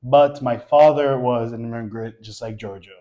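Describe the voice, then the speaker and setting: New Yorker co-host, relaxed storyteller, dark warm tone. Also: male voice, medium pitch, moderate pace, lo-fi sound. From Queens, New York. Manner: easygoing storyteller, guides the conversation. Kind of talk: casual couple chat.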